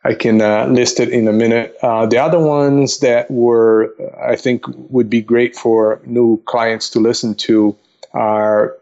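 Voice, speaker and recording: A man explaining things as a podcast guest in a methodical, polite, somewhat halting way. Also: medium pitch, flat delivery, warm tone, muffled recording. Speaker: investment counselor, podcast guest. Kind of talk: casual explanatory podcast talk.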